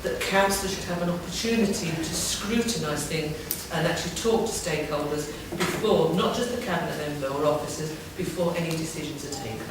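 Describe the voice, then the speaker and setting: Heard across a highly reverberant room with clear background noise, a woman speaks as a councillor. She asks formal questions without a script, in long, rambling phrasing. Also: a little fast, hardly any pauses. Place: large town hall meeting room. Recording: very echoey hall, distant mic, clear background noise.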